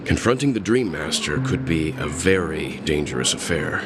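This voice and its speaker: A man playing a calm, wise older gentleman in a game cutscene, speaking in a measured, reassuring way. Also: very deep voice, music in the background. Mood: composed. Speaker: game character. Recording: game score playing loudly underneath.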